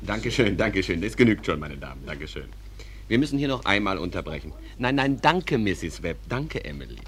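An actor playing a calm, reflective small-town stage manager who addresses listeners in an unhurried, conversational way in a German radio drama. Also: male voice, fairly low pitch, slightly rough, full character voice. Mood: polite, firm.

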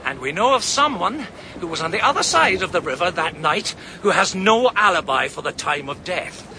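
A sharp, steady detective on a radio play, his voice pitched fairly high and set against a clear hiss of noise. He builds his case slowly and coolly, every line a calm trap. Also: heavily acted. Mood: cold, accusing. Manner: measured, cutting, triumphant. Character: shrewd senior police detective unmasking a killer. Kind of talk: 1980s-90s radio drama acting.

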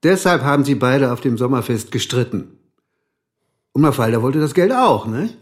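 An actor playing a gruff, middle-aged police inspector in a radio crime drama, wry, brusque and colloquial. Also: male voice, medium pitch, lively intonation, normal speed, natural voice. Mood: probing, sardonic. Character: gruff, sardonic male police chief inspector. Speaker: police detective.

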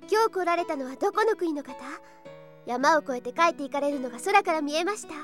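A woman's voice: high-pitched, expressive, fast, fairly bright tone, soft background music. A woman playing an earnest, headstrong young princess, devoted and well-spoken, in an anime audio drama. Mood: spirited. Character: earnest, headstrong twelve-year-old princess, devoted and polite.

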